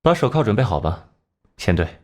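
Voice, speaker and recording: A man playing a cool, confident detective in an animated crime drama, explaining things in an assured, deliberate way. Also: lowish voice. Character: cool, cerebral male detective, confident and theatrical.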